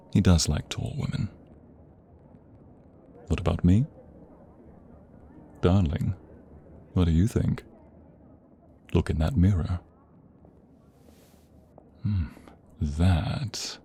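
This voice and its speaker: Male actor as loving king, very low gravelly voice, unhurried, intimate, courtly romantic audio roleplay. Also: dark tone, a little hiss or hum, full character voice. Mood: intimate, playful. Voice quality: deep, breathy, often whispering. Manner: slow, intimate, formal courtly phrasing. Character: tender, devoted young-hearted king in love, regal.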